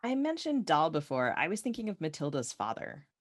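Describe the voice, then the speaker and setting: A woman's voice: deep voice, big pitch swings, brisk. A woman co-hosting a podcast, talking casually about books in a tentative way, thinking aloud. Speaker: podcast co-host. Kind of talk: casual literary discussion.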